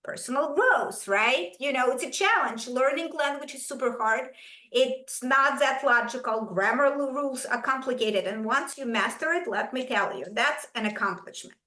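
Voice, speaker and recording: A middle-aged woman from Ukraine with a Russian accent, a native Russian speaker, giving an animated, emphatic presentation to parents. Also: high voice, moderate pace. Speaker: curriculum presenter. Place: school event room. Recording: echoey room.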